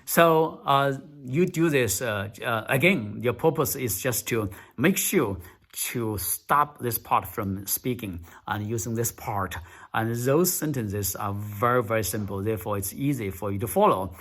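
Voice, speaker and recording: Halting man coaching spoken English. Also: medium pitch, a bit bright. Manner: halting, many fillers, repeats words for emphasis. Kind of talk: casual spoken tutorial.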